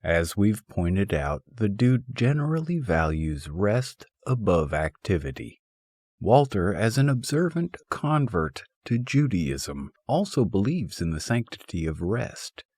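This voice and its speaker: A low-pitched male narrator takes his time over a humorous essay, his delivery wry and mock-scholarly. The tone moves up and down in a lively way. The recording is dry and close. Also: unhurried, husky, no room sound. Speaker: audiobook narrator.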